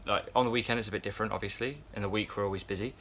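Brit guy in his 20s vlogging on a boat, low monotone, lots of ums, faint hum behind. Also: very fast. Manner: rambling, many fillers and restarts. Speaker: yacht crew vlogger. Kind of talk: casual vlog chat to camera. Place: yacht crew area, indoors, fan nearby. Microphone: phone camera at arm's length.

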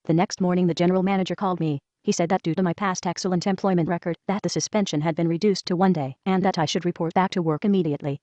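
A woman reads a first-person letter aloud at a quick clip, in an even, brisk read-aloud manner. Her voice sits slightly low and sounds dry, with no room around it. The recording is dull and lo-fi. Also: no room sound. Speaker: reader of a whistleblower letter. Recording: dull lo-fi voice track.

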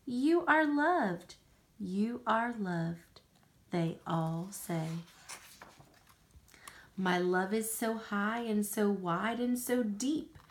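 A woman with an American accent reading a picture book aloud to a young child, with unhurried, expressive phrasing. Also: mid-range voice.

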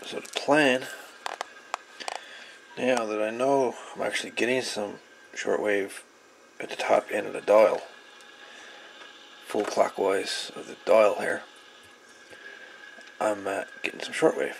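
Gravelly low-voiced man. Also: flat delivery, poor audio. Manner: hesitant, unscripted, pausing often. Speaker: hobbyist presenter. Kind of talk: casual hands-on electronics demonstration. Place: small cluttered home workshop. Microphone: camera microphone on the workbench. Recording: camera audio with audible background noise.

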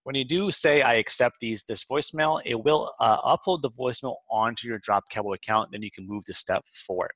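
Male tutorial presenter, medium pitch, informal instructional delivery, semi-scripted, dry voice-over sound. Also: fairly quick, hardly any pauses, fairly bright tone, no echo, low-quality recording. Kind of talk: walkthrough narration of a screen demo, semi-scripted. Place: close dry voice-over for a screen recording.

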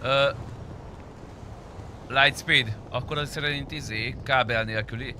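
A man's voice: mid-range voice, fairly even intonation, bright, forceful tone. A man chatting casually with viewers as a game streamer. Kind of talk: casual livestream chat with viewers. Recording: noisy, vehicle and street sounds behind.

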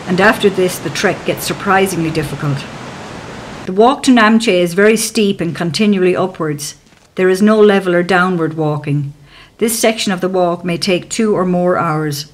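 A woman giving calm, measured, scripted narration for a travel documentary as a voice-over. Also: fairly low pitch.

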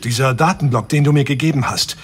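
A man's voice: mid-range voice, quick pace, noisy background, natural voice. An actor playing an agitated young man confronting his long-lost father. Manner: agitated and demanding. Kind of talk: audio drama acting.

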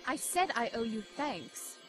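Game heroine: proud young princess, high voice, formal, haughty and suspicious, music underneath. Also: female voice, sing-song, a little slow, fairly bright tone. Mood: indignant, haughty. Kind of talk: dramatic video game voice acting.

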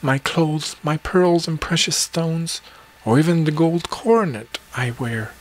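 A man's voice: a little high, unhurried, pitched above the performer's own voice, low-quality recording. A man voicing a haughty, spoiled young princess in a storybook fairy tale, petulant and girlish. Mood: tearful, pleading. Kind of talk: acted storybook dialogue.

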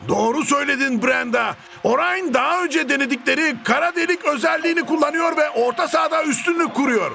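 An actor voicing an excitable cartoon football commentator who calls the match in bombastic, sing-song shouts. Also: male voice, extremely high pitch, fast talker, vocal fry. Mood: excited. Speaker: sports commentator. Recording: music behind the voice.

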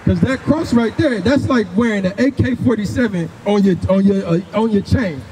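High-pitched, emphatic male street preacher. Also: moderate pace, in a large hall, a loud crowd. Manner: emphatic, repeats phrases, commands a reader. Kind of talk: confrontational open-air street preaching. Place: outdoors on a grassy housing-project lawn. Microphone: handheld microphone through a portable speaker. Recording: noisy outdoor street recording.

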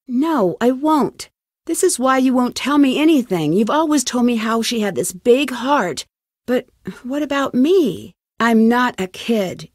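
Young american girl detective in a video game, kinda high voice, nosy n determined, super dry studio sound. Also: a little mellow, no room sound. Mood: defiant, hurt. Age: young adult. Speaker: video game lead character. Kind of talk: scripted game dialogue.

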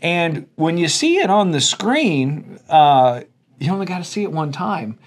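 A man's voice: a little high, sing-song, unhurried. A man in his forties, a guest angler who presents a fishing show, explaining things in casual interview chat. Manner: explanatory, conversational. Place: indoor office-like room. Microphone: clip-on wireless mic.

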